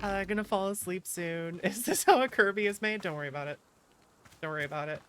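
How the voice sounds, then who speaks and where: A woman's voice: mid-range voice, fast, slight background noise. A woman streaming a video game, chatting casually and thinking aloud as she plays, with short exclamations and muttering to herself. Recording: loud game music behind. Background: loud game music.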